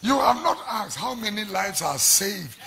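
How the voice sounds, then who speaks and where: A middle-aged man with a Ghanaian accent, a pastor preaching a fiery sermon into a handheld mic in a large church hall, shouting in sing-song refrains. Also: high voice, lively intonation, a little slow, creaky, breathy edge.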